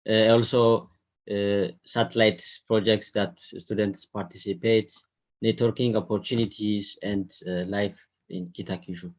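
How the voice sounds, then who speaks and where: A man from Ethiopia, in his thirties, walks through his slides on a video call. His voice sits fairly low. He stops often to fill gaps with a hesitant "uh". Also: fairly even intonation, measured pace. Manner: hesitant, many "uh" fillers. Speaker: webinar presenter. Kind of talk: slide presentation.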